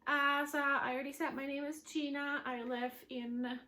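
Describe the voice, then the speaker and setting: A woman's voice: high voice, little pitch movement, moderate pace, bright, forceful tone. A woman from Switzerland whose first language is German, hosting a knitting podcast from home in a rambling, chatty way full of fillers. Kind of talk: casual chat to camera.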